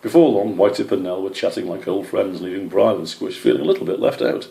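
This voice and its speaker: Low, creaky-voiced man reading a story. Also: fast talker, dark tone, roomy sound. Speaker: author or story reader. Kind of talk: scripted story narration.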